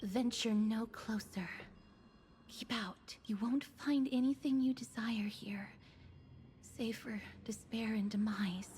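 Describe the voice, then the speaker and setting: An actress voicing a solemn, soft-spoken female figure in a fantasy video game, speaking formally and breathily. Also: fairly high pitch, takes it slowly, a little hiss or hum. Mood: forbidding.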